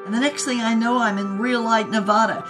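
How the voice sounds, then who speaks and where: An actress playing a giddy, dreamy woman adventurer, telling her psychedelic tale in dramatic, expressive first person. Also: a little high, brisk, music in the background, close to an everyday voice. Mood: frantic, horrified. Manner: theatrical, expressive. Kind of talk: dramatic first-person narration with poetic recitation.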